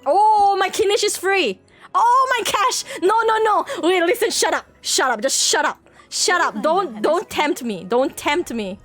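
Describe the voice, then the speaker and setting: A woman giving casual live commentary on a video game as a streamer, excitable and giggly, often repeating phrases rapidly. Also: very high voice.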